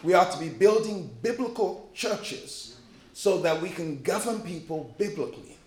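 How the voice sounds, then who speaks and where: Man from liberia, preaching, high voice, emphatic, humorous, echoey church hall. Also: lively intonation, moderate pace. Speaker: pastor. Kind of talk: sermon.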